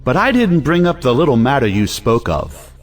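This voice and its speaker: Man playing self-righteous household patriarch, pompous, measured, medium-pitched, period stage comedy, audio play. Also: big pitch swings, natural voice. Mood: guarded. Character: solemn, self-righteous male head of a household.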